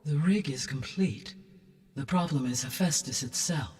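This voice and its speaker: A man acting a calm, analytical artificial intelligence with precise, measured, formal delivery in a video game. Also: on the high side, distant mic, natural voice. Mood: calm, clinical.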